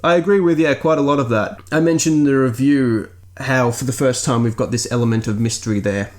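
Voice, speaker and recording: A man's voice: mid-range voice, fairly quick. A man hosting a podcast, giving a conversational book review, sometimes circling back on his phrases. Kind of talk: conversational review, reading out listener comments.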